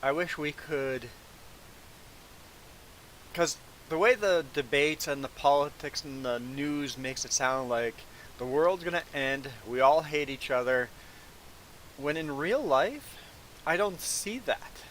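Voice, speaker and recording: A man's voice: a little high, takes it slowly, pauses often, low-quality recording. A man with a sniffly nose chatting casually on a live stream, talking in a rambling, conversational way. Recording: steady background hiss. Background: hiss.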